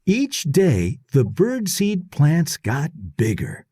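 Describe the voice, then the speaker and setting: A man reading a children's story aloud in short, deliberate, clearly separated phrases. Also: fairly high pitch, wildly swooping pitch, dark tone, dry recording, clean studio sound. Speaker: read-aloud narrator.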